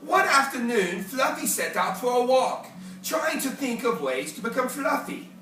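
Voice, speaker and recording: Someone reading a kids storybook real slow and bright, theatrical, bit far from the mic. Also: high voice, slight background noise. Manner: animated, theatrical, deliberate phrasing. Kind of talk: expressive read-aloud of a children's picture book. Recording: echoey room, distant mic, faint music.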